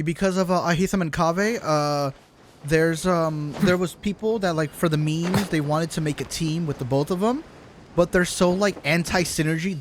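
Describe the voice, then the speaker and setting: Male livestreamer, higher-pitched, casual and exclamatory, swears freely, noisy stream with game sounds and clicks. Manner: jokey, exclamatory, profane. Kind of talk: casual livestream chat while playing a game.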